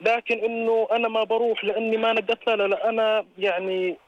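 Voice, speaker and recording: A man's voice: high voice, level tone, fast, no room sound, lo-fi sound. A man in his thirties with a Jordanian Arabic accent, chatting casually and telling anecdotes as a caller. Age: 30s. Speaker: radio show caller. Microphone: phone call.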